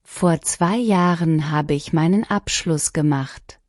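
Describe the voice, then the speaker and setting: A woman reading short lesson sentences one at a time, each clearly and deliberately, for learners to repeat. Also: sits low, darkish tone, dry recording, studio quality. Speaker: language lesson reader. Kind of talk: scripted shadowing practice reading.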